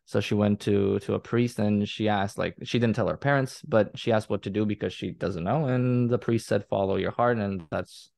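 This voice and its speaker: A young man with a Croatian accent, talking casually as a guest on an interview show. His voice sits low and carries a gravelly, fried edge, and he rambles, restarting his sentences and filling the gaps with fillers. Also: fairly even intonation, normal speed, few pauses, a little mellow. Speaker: guest, content creator.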